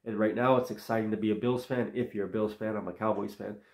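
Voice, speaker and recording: A man's voice: lowish voice, steady tone, fast, echoey room. Stuffy-nosed man ranting about football. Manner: rambling, opinionated, talks in loose runs. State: stuffy, sick with a cold. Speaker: sports channel host. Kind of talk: casual solo sports commentary.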